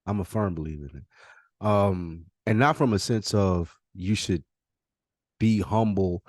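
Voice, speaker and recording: A man's voice: low-pitched, a little slow, a little mellow, dry recording, clean studio sound. A man hosting a podcast in a casual, easygoing, slangy conversational style. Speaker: podcast host.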